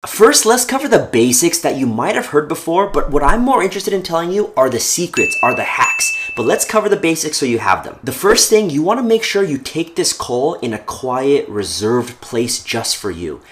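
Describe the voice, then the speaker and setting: A man hosting a career advice video, speaking to camera as a coach in an upbeat, energetic, emphatic and informal conversational way. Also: fairly high pitch, moderate pace, hardly any pauses, heavy background noise.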